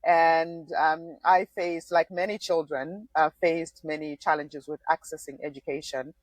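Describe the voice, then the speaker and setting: A woman's voice: low-pitched, steady tone, measured pace, no echo. A woman in her forties from Zimbabwe, a former political candidate, telling her story as a podcast guest with frequent small laughs. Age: 40s. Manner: thoughtful, laughs often, frequent restarts. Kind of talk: conversational interview.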